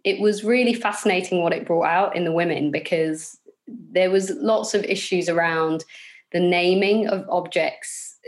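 A woman with a London accent, a guest in a casual interview, talking thoughtfully with fillers and restarts. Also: lowish voice. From England.

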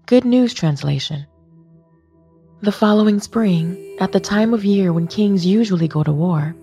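A woman's voice: fairly low pitch, gravelly, warm tone. A woman narrator reading a Bible passage aloud. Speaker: scripture narrator.

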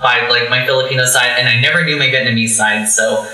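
A young man with a very bright, edgy voice tells personal stories in a candid, animated way, full of fillers. He sits back from the mic in a strongly echoing room. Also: medium pitch, fast, far from the mic. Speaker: podcast guest, drag performer. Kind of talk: casual podcast conversation. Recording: echoey room, away from the mic.

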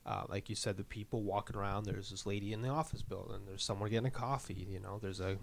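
A man's voice: lowish voice, steady tone, quick pace, gravelly. A man in his twenties from New Hampshire, co-hosting a podcast in casual, upbeat, joking chat. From Manchester, New Hampshire. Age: 20s. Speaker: podcast co-host.

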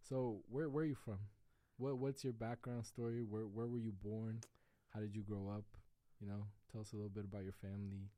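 A man's voice: on the low side, level tone, fairly quick, hardly any pauses, mellow, no echo. A young man co-hosting a podcast, easygoing and slangy, steering the chat with questions. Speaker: podcast co-host, interviewer. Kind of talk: casual interview chat.

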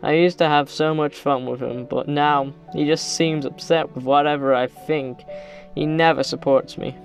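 Man playing a higher-voiced sullen teenage son. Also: fairly even intonation, moderate pace, creaky, faint music behind. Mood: hurt, sullen. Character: sullen, affectionate college-bound son, about eighteen. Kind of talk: audio drama acting.

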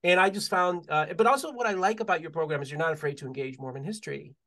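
A man hosting a podcast interview, talking quickly and casually, with many stammers and restarts. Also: fairly high pitch, bright, forceful tone. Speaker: podcast host.

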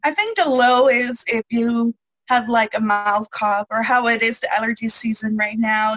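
A young woman speaks in a fairly high voice, pausing often on ums and restarting her sentences. She is a guest answering questions, and the sound is dry and close with no room around it. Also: fairly bright tone, no room sound, poor audio. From Netherlands. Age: 20s. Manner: hesitant, with frequent ums and restarts. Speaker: interview guest. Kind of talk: casual interview over a call.

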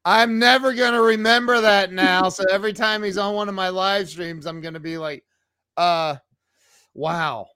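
A man's voice: high voice, fairly even intonation, moderate pace, sharp tone, smooth. A man hosting a casual live interview, reacting with short, amazed interjections. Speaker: podcast host interviewing a guest.

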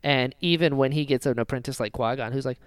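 A man's voice: medium pitch, fast, clear, resonant tone, no echo, studio quality. A man hosting a casual fan discussion podcast, talking animatedly and often slipping into mock quotes of imagined dialogue. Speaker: podcast host.

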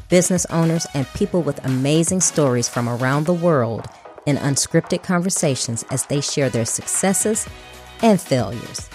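A woman from Chicago, hosting a podcast, reading an upbeat intro and then chatting casually with her guest. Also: low-pitched. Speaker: podcast host.

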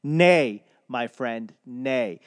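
Casting: young guy, rambling profane comic rant, dry close sound, mid pitch. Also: drawn-out words, no echo. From Boston. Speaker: comedian and podcaster. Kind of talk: casual monologue.

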